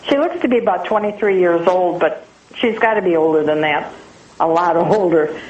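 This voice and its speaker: A woman speaking as an interview guest, giving a conversational account in a hesitant, halting way. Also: lowish voice, darkish tone, highs cut off. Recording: echoey, noisy interview audio.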